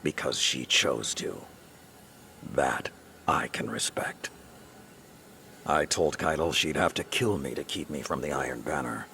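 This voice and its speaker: An actor playing a grave, honor-bound old warrior and mentor in a video game, gravelly, solemn and measured. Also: male voice, a bass voice, slight background noise, full character voice. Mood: solemn resolve. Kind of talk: dramatic video game cutscene acting. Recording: loud music under the voice. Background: music.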